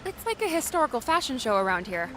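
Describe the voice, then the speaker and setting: A woman's voice: on the high side, big pitch swings, quick pace. A woman playing a curious, bewildered young woman newcomer in an audiobook, speaking in an incredulous, questioning way. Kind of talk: audiobook character dialogue. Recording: music behind the voice.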